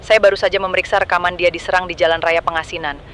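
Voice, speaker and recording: A man's voice: sits high, little pitch movement, rapid-fire, pitched above the performer's own voice, natural voice. A man voicing a serious investigator recording field notes for an analog horror drama. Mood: grave, ominous. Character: grave male field investigator logging case notes. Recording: noisy outdoor field recording.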